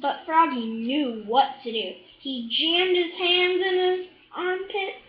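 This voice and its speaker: A child reading a picture book aloud in a halting, expressive way, adding little asides to the listener. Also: very high-pitched. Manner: halting, expressive, with drawn-out words and excited shouts. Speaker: storybook reader. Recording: echoey room, slightly dull sound.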